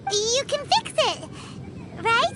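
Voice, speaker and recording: A tiny video game fairy girl pipes up in a squeaky, extremely high voice. She is excitable, blunt and childlike, swinging wildly in pitch. Loud noise sits behind her. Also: slow pace, full character voice. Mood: curious, eager. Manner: exclamatory, refers to herself in third person. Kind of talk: video game character acting.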